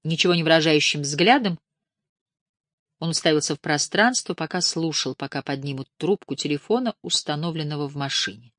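A woman narrating an audiobook with a measured, expressive reading on a close studio mic. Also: low-pitched, no echo.

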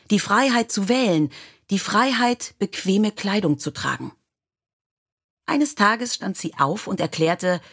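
A woman's voice: mid-range voice, fairly bright tone, slightly rough. A woman reading short biographical stories in a scripted storybook narration style. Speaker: audiobook narrator.

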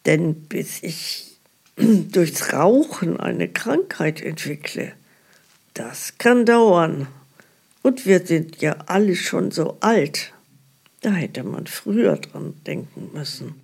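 Creaky old lady from a care home, slow n hesitant, naive, radio play. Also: fairly low pitch, lively intonation, a little mellow, full character voice. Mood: hesitant, resigned. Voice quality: husky, creaky. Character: hesitant, gullible elderly woman living in a care home. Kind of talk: naturalistic radio drama acting.

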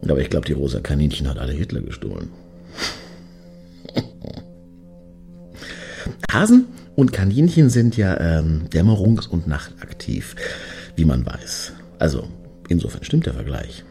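Sardonic, deep, creaky-voiced man. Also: wildly swooping pitch, a little slow, pauses often, warm tone, soft background music, full character voice. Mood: mocking. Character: crude, cynical ex-boyfriend in a satirical radio play. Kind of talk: German radio play dialogue.

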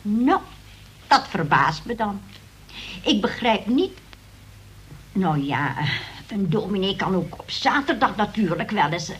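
An actress in a Dutch radio play playing a prim, pious church sister who is hesitant, sanctimonious and easily shocked. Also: female voice, mid-range voice, sing-song, unhurried, pauses often, slight background noise. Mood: flustered doubt.

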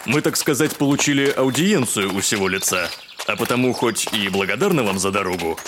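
An actor playing a pompous, fussy educated gentleman in an audio drama. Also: fairly low pitch, lively intonation, fairly quick, background noise, close to an everyday voice. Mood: stiffly polite. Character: haughty, irritable educated liberal gentleman landowner.

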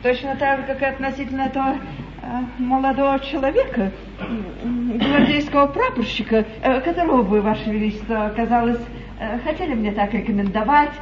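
An actress playing a scheming, flattering duchess in a 1950s stage comedy, speaking in an ingratiating, courtly, theatrical way. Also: female voice, high voice, brisk, noisy background, natural voice. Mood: smug, flattering. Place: theatre stage, recorded live from the hall. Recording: echoey, muffled 1950s live theatre recording.

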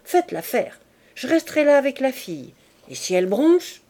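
A woman voicing a brash young thug who gives quick orders. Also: high voice, big pitch swings, mellow, pitched above the performer's own voice. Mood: commanding. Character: young male knife-carrying thug, brash.

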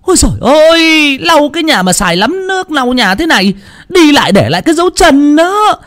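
A man voicing a domineering older mother-in-law who scolds in shrill, rapid tirades. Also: big pitch swings, fairly bright tone, full character voice. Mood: shrieking scold.

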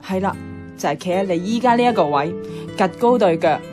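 An actress as a playful young woman with a Hong Kong Cantonese accent, fondly revisiting old memories. Her radio-drama voice sits at a middling pitch and is chatty and storytelling, with music clearly playing behind her. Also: lively intonation, fairly quick, lightly acted. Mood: chatty nostalgic.

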